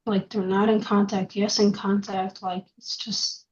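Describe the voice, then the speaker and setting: A woman's voice: mid-range voice, flat delivery, a little slow, a little mellow, highs cut off. A woman in a group discussion who speaks hesitantly, padding her sentences with many fillers. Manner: hesitant, full of "like" and "you know".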